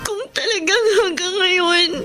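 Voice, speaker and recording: A lovesick young Filipino woman with a high voice. She pleads earnestly and tearfully in radio drama style, over faint music. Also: unhurried, sharp tone, heavily acted. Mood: tearful pleading. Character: lovesick, pleading young woman clinging to her cheating boyfriend.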